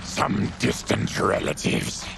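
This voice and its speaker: An actor playing a noble, stern mutant warrior with a growling voice and terse, solemn delivery in a video game. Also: male voice, takes it slowly, noisy background, close to an everyday voice. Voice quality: gravelly, growling. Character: noble, gruff, monstrous mutant warrior, stern but merciful. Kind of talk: video game cutscene acting. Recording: loud score behind dialogue. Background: dramatic music.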